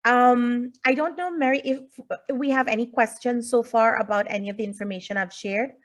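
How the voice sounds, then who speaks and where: Female teacher-presenter, native English speaker, slightly high voice, informal explanatory lecture over a video call. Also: steady tone, moderate pace. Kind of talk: informal online lecture, addressing audience. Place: indoors, online video call.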